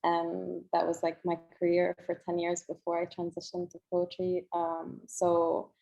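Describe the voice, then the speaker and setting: Low, creaky woman, reflective. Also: monotone, unhurried, mellow. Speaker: poet on a reading panel. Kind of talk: casual panel discussion. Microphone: video call. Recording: slightly dull video call audio.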